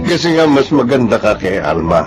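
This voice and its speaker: An actor playing a moneyed, flirtatious seducer in a Filipino melodrama, suave and wooing with a Filipino accent. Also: male voice, mid-range voice, sing-song, unhurried, over music. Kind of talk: melodramatic Filipino serial drama acting.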